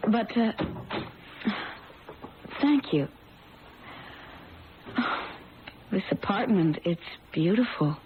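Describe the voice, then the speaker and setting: A mild, lovelorn woman who has turned quietly determined and jealous in an old radio drama. She speaks earnestly and deliberately at a middling pitch. The sound is thin and tinny with faint noise. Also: expressive. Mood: polite, hesitant.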